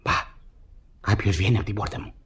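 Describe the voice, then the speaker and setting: A man's voice: lowish voice, steady tone, fairly bright tone, slightly rough. An actor playing a bitter, suspicious wealthy peasant husband in a radio comedy, exclaiming and repeating his words. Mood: bitter, self-pitying. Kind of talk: Greek radio theatre acting of classic comedy.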